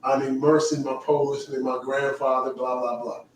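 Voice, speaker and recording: A man's voice: medium pitch, flat delivery, recorded from a distance, a little hiss or hum. A man from the with a light lilt, chatting casually and teasing the others as he hosts a livestream. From Caribbean. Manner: teasing, talkative, quick asides. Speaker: livestream host. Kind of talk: casual chat. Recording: echoey room, away from mic.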